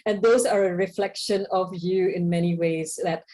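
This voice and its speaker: A woman's voice: fairly low pitch, fairly even intonation, normal speed, warm tone. A woman hosting a conversational interview podcast, speaking in an effusive, appreciative way to her guest. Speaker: podcast host.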